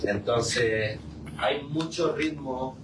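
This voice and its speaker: A man's voice: medium pitch, very slow. A man speaking reflectively in a group discussion, repeating phrases for emphasis as he leads the talk. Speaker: musician leading a discussion. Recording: echoey room, distant mic, clear noise.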